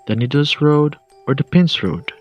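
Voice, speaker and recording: A man's voice: on the high side, lively intonation, moderate pace, voice pushed up, close to an everyday voice. A man voicing a sly, predatory werewolf who poses as a grandmother in a storybook tale, coaxing and commanding. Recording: muffled lo-fi distant mic, music behind.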